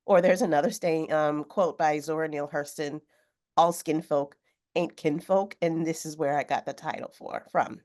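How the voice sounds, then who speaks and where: Low-pitched woman, bit hoarse from a cold, chatting on a webcam from home. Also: fairly even intonation, darkish tone. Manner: thoughtful, unhurried, with fillers. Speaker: author guest. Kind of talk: video call interview. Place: home room with sewing machine. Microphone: video call webcam.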